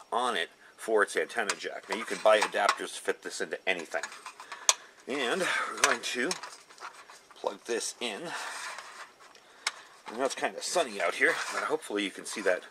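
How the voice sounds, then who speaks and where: A man giving a casual, hands-on product demo in an informal, folksy, explanatory way. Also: fairly low pitch. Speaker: video host, product reviewer. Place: outdoors in a gravel yard. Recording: outdoor camera recording with ambient noise.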